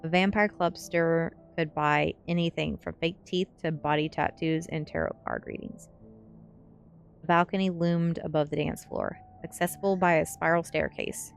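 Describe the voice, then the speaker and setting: A woman's voice: low-pitched, steady tone, normal speed, vocal fry. A woman streamer reading a gothic teen story aloud in an audiobook style of narration. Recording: faint music behind. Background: faint music.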